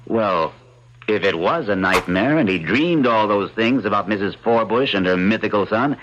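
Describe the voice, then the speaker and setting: A man's voice: on the low side, expressive, moderate pace, slight background noise, natural voice. An actor playing a rational, dismissive but reassuring husband and father in an old-time radio drama. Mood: skeptical, firm. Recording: dull lo-fi radio recording, faint music.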